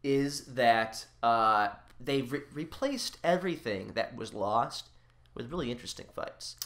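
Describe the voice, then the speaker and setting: A man co-hosting a casual podcast, excitable and prone to repeating phrases for emphasis. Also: medium pitch, unhurried. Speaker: podcast co-host.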